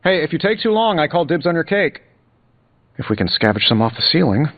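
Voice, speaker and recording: An actor playing a cocky billionaire inventor superhero in a video game, glib and sarcastic with playful quips. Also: male voice, fairly high pitch, very animated, a little fast, no echo, muffled recording. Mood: joking. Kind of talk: video game character dialogue, quippy banter. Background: music.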